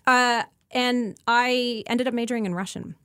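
A woman's voice: a little high, fairly even intonation, normal speed, fairly bright tone, clear, resonant tone, dry recording. Middle-aged Canadian woman, dry close sound. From Ontario, Canada. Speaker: interview guest, researcher. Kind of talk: conversational interview, explanatory.

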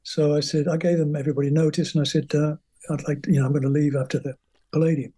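A man's voice: on the high side, flat delivery, fast talker, dark tone, slightly rough. An older man from England, a guest musician telling rambling, chatty stories about his career in an interview. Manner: rambling, chatty, acts out quoted voices. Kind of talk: anecdotal interview storytelling.

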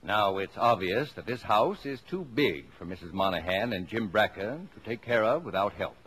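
Blustery, animated American father. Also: on the low side, normal speed. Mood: brisk, mock-formal. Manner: blustery, exclamatory, mock-formal. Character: jovial, blustery father of a large brood. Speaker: actor in a radio drama. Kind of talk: mid-century American radio acting. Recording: noisy old radio broadcast recording.

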